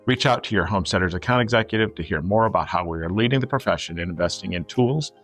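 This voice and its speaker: A man's voice: low voice, quick pace, fairly bright tone, faint music behind. A man reading a scripted sponsor message in a confident, corporate manner. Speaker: sponsor spokesman. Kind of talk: scripted ad read.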